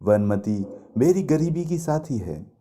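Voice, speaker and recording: Man playing an earnest, animated young mythical student. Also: medium pitch, takes it slowly. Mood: fond, nostalgic. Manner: reverent, declamatory. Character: earnest young male student of myth, devoted and grateful.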